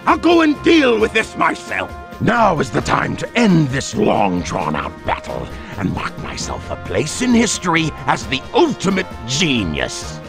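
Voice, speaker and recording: A man's voice: on the high side, huge pitch swings, unhurried, gravelly, exaggerated voice. A man voicing a grandiose, scheming evil genius in a video game, theatrical and gloating, with cartoon villain relish. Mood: gleeful, boastful. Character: bombastic, scheming middle-aged male evil genius villain. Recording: game voice clips over background music.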